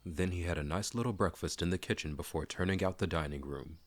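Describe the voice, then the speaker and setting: Guy with a really deep voice reading a fantasy storybook out loud, dramatic narration. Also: quick pace, slight background noise. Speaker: audiobook narrator.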